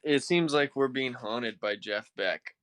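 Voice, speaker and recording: Guy hosting a podcast, clean smooth lowish voice, recorded dry and close. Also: measured pace, fairly bright tone, no room sound. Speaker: podcast host. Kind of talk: casual chat.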